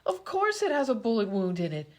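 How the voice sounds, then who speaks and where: Woman playing a high-pitched, exasperated wisecracking patient. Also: huge pitch swings, fairly quick. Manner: sardonic, incredulous. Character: wisecracking, exasperated female patient with a broken foot. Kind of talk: comedy radio-play acting.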